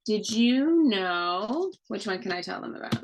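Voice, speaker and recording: A woman's voice: medium pitch, big pitch swings, measured pace, slight background noise. A woman and mother, a children's book author, chatting casually on a video call and teaching children with questions and fun facts. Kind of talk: casual read-aloud talk with children.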